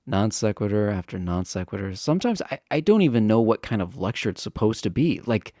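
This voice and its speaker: Man acting as flirty, mellow, animated college guy. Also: lowish voice, a little fast, dry recording, studio quality. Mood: casual, exasperated.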